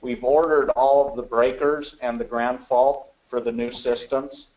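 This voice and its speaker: Man, medium pitch, muffled lo-fi connection, hesitant, webinar attendee asking questions. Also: little pitch movement, unhurried, a little mellow. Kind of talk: casual questions and answers. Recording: dull lo-fi remote audio.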